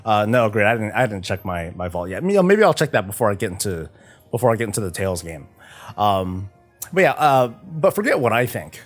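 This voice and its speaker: A man chatting casually on a gaming livestream, halting and often stumbling over repeated words. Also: lowish voice, big pitch swings, faint music behind. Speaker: streamer, host of a morning show. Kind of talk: casual livestream chat about games.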